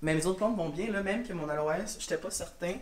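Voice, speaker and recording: Tired, congested Quebec teacher. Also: male voice, high voice, brisk, a little hiss or hum. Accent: Quebec French. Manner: chatty, rambling, tired and frank. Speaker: elementary school teacher. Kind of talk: casual vlog talk to camera. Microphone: camera mic.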